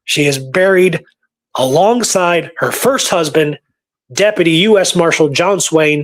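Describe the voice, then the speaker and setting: A man's voice: on the high side, expressive, a little slow, fairly bright tone. A man telling stories in a casual, informal, colloquial way, as a guest researcher in a conversation.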